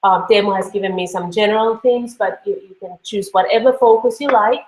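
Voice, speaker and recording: A woman's voice: medium pitch, fairly quick, darkish tone. A woman with a Finnish accent giving an online course lecture in a loose, conversational way, with many fillers and restarts. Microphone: computer mic on a video call. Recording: echoey room.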